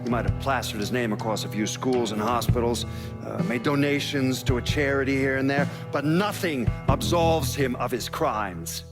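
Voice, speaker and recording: An actor playing a determined, bitter older lawman giving a measured, pointed documentary interview in a game. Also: medium pitch, close to an everyday voice. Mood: scornful, determined. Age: 60s. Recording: prominent guitar music behind. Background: guitar music.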